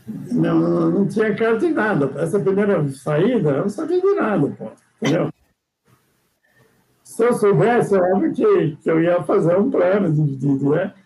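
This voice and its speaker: An older Brazilian man with a high, gravelly voice tells sea stories in a loose, rambling chat. He keeps adding little questions to his sentences and restarts his thoughts as he goes. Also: expressive, normal speed, mellow, rough recording. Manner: rambling, frequent "né?" tags and restarts. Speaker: guest sailor. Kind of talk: casual storytelling chat.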